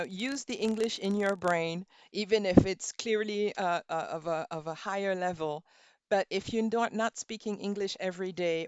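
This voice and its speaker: A middle-aged woman whose first language is Norwegian teaches a relaxed online language class. She thinks aloud, spells words letter by letter and fills pauses with ums. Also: on the low side, no room sound. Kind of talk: casual online lesson, thinking aloud while browsing.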